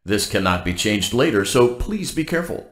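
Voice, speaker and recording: Echoey, low-pitched cheerful robot guide. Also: male voice, moderate pace. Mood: blandly cheerful. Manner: upbeat corporate formality, deadpan about danger. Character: cheerful, blandly polite robotic guide for night-shift workers. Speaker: automated workplace guide. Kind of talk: scripted game announcement.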